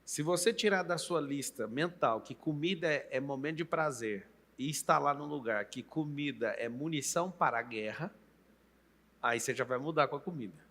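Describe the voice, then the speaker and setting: Bright, emphatic Brazilian host in his late thirties. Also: a little high, moderate pace, in a large hall. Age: late 30s. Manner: emphatic, coaching, addresses the viewer directly. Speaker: podcast host and coach. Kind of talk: motivational interview talk.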